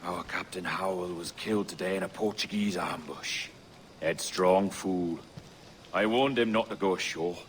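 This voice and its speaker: A male actor plays a hunted, defiant seafarer in a video game. His voice is on the low side, dry and deliberate, always calculating. Slight ambient noise sits underneath. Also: natural voice. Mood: curt, irritated. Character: shrewd, defiant hunted sage turning pirate. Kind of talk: video game cutscene acting. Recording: faint ambient noise behind.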